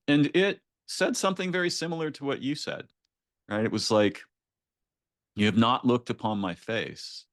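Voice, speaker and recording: A man's voice: medium pitch, measured pace, pauses often, no room sound, clean studio sound. A man hosting a conversational interview, speaking reflectively and often checking in with "right?". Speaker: podcast host.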